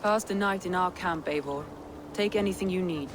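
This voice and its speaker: A woman's voice: on the low side, normal speed, over music, natural voice. A woman playing a proud, resolute Viking war leader in a video game, speaking in an earnest, stately, commanding way. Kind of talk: dramatic video game acting.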